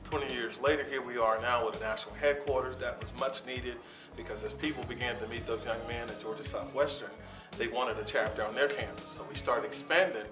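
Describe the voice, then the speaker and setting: A man, founder of an organization, giving steady, measured interview answers full of figures and dates. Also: mid-range voice. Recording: roomy sound from a distance with music underneath.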